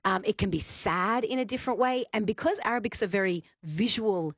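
A woman co-hosting a radio show, chatting in a lively way and reading out listener texts, with lots of fillers. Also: mid-range voice, a little fast, breathy edge, dry recording, studio quality. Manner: lively, animated storytelling with many fillers. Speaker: radio co-host. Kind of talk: casual radio chat.

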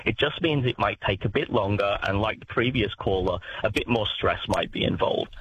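A man's voice: fairly low pitch, normal speed, fairly bright tone, muffled recording, a little hiss or hum. A man, a language expert speaking on a talkback radio show, explaining with many fillers and restarts.

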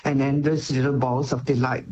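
A man's voice: medium pitch, level tone, moderate pace, warm tone, lo-fi sound. A man, a cooking educator and author, talking food on the radio with enthusiasm and frequent laughs. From Malaysia. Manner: enthusiastic, descriptive, laughs often. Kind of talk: radio interview.

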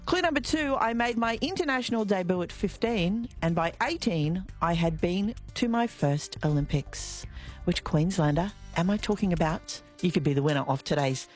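A woman's voice: on the low side, expressive, normal speed, faint music behind. An Australian woman hosting a local radio show in a studio, chatty and jokey, repeating quiz clues and phone numbers. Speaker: radio host. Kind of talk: breakfast radio presenting. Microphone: studio mic.

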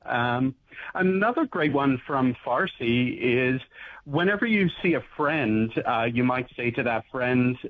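Husky man on a tinny radio line. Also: medium pitch, lively intonation, unhurried. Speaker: radio guest, language expert. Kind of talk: casual radio chat.

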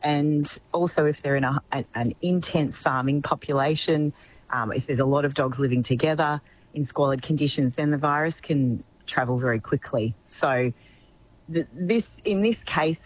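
An Australian woman with a slightly croaky voice, giving expert veterinary advice as a guest in a radio interview. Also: low-pitched, moderate pace, low-quality recording.

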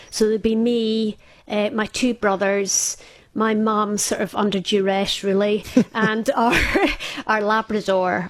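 A woman's voice: mid-range voice, dry recording. A Scottish woman in her fifties, a radio guest telling a personal story in casual chat, hesitant, with frequent small laughs. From Scotland.

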